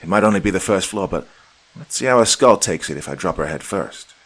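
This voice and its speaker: A man's voice: medium pitch, very animated, normal speed, fairly bright tone, breathy edge, voice pushed up. A man doing a sneering cruel villain. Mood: menacing taunting. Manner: threatening, mocking. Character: taunting cruel male hostage-taker.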